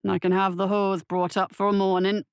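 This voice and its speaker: Woman doing a big gruff orderly bloke, menacing, horror story, dry close recording. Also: mid-range voice, little pitch movement, moderate pace, dry recording, close to an everyday voice. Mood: obliging. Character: gruff, hulking, menacing male hospital orderly.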